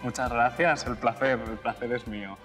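A man in his thirties from Barcelona, a tech executive answering interview questions in a relaxed, thoughtful way with many restarts and easy laughs. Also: mid-range voice, normal speed, over loud music. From Barcelona, Spain. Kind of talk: casual conversational interview. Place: indoor office lounge.

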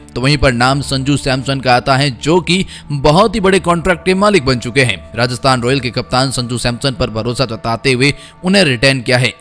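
A young man reading a scripted cricket news voice-over in a brisk, emphatic way, with asides to viewers. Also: mid-range voice, fairly bright tone. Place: voice-over, not in pictured place. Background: faint background music.

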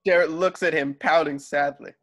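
A man's voice: fairly high pitch, fairly even intonation, normal speed, a bit bright. A man reading screenplay stage directions aloud as the narrator of a home table read. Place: at home on a video call.

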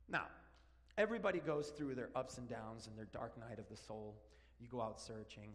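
A man in his forties from Argentina, a native Spanish speaker, preaching an animated, joking sermon while walking around the stage. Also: mid-range voice. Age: 40s. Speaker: pastor. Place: large church sanctuary. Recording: long church hall reverb.

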